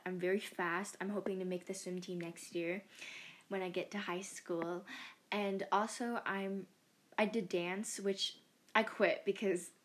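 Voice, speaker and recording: A woman's voice: mid-range voice, husky. A teenage girl hosting her first podcast, chatting in a casual, self-mocking way and often giggling. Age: teens. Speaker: podcast host. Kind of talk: casual chat.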